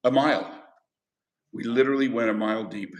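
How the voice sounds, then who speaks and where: A man's voice: medium pitch, brisk. Male history teacher lecturing in an echoey classroom. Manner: conversational, asks the class questions.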